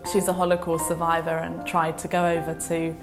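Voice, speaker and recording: A woman's voice: fairly low pitch, completely flat delivery, brisk, music in the background. A young woman talking in a heartfelt way in a documentary interview, chiming in on her husband's points. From Britain. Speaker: emigrating wife.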